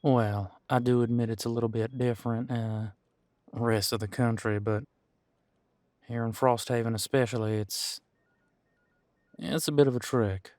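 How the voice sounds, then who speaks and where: A man's voice: mid-range voice, fairly even intonation, a little slow, long pauses, a little mellow, dry recording, close to an everyday voice. A man playing a friendly, long-serving supernatural squad cop in an audio roleplay, chatting in an easygoing, folksy, slightly hesitant way. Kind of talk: conversational audio roleplay to an unseen partner.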